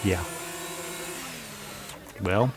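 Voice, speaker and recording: A man's voice: low voice, extremely slow, a little hiss or hum. A man playing a brash, wisecracking secret agent in an audio comedy drama, sardonic and exasperated. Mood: dry, businesslike. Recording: loud music under the voice.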